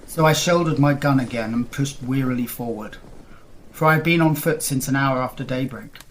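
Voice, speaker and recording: A man's voice: mid-range voice, normal speed, fairly bright tone, slight background noise, close to an everyday voice, poor audio. An actor playing a distressed, insistent young huntsman in a period Gothic audio drama, speaking in formal old-fashioned diction. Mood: grave, weary. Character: distressed lost huntsman recounting his ordeal, insistent young husband.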